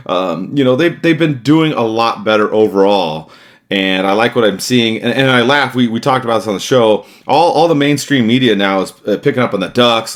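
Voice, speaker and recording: A man hosting a sports podcast, chatting casually and rambling with restarts and fillers. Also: fairly low pitch. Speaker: podcast host.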